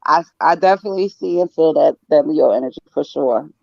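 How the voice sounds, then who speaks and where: A woman's voice: low voice, a little fast, vocal fry, warm tone, no room sound. Dull-sounding woman chatting agreeably. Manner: agreeable, repeats phrases for emphasis. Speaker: panel guest. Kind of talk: casual chat. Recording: slightly dull lo-fi audio.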